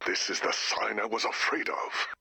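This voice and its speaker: Gravelly, ominous werewolf-cursed director. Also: male voice, on the low side, fairly even intonation, moderate pace, pushed and bright, dry recording, full character voice. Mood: earnest, exasperated. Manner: ominous, dramatic, earnest. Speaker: director of a base. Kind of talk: animated video game voice acting.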